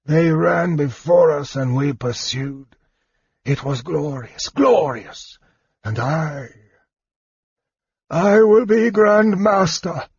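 A feverish, dying knight lord croaks out his words, as a man reading aloud puts him on. His voice is husky and strained. The recording is dry and a little dull. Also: a little high, expressive, slow, long pauses, no echo, pitched above the performer's own voice, full character voice.

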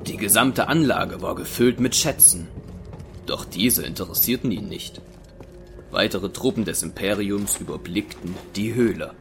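Deep, creaky male narrator. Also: moderate pace, over music. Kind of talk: scripted dramatic audio drama narration.

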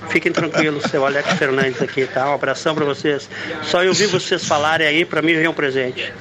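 A man leaves a friendly voice message for a radio show, talking quickly. His voice sits a bit high. A busy crowd murmurs clearly behind him. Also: steady tone, rough recording. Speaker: listener sending a voice message.

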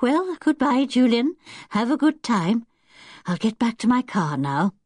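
Older British lady for a children's storybook, played by a woman: genteel, kindly, a fretful chatterer with lively intonation. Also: fairly high pitch, a little slow, husky, no echo, clean studio sound, pitched above the performer's own voice, exaggerated voice. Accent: British English. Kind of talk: children's audiobook character acting.

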